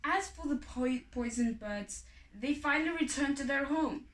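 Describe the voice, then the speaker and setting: A woman's voice: high-pitched, moderate pace, fairly bright tone. A woman reading a folk tale aloud as a storyteller. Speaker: story reader. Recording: echoey room, distant from mic.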